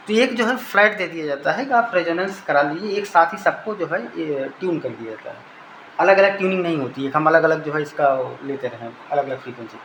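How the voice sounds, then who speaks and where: High-pitched Indian man teaching, echoey room. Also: a bit bright. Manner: didactic, repeats and rephrases phrases. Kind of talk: informal technical lecture with step-by-step explanation. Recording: echoey room with faint background noise.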